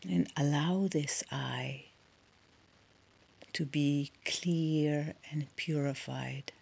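A woman leading a guided meditation in a soft, soothing, breathy voice, leaving long pauses between short phrases. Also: low voice, fairly even intonation, highs cut off.

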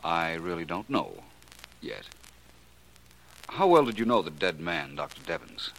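An actor playing a suave, probing gentleman detective in a 1940s radio drama, polite and pointed in his questioning. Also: low voice, very animated, measured pace, creaky, heavily acted, low-quality recording. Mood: probing, wry.

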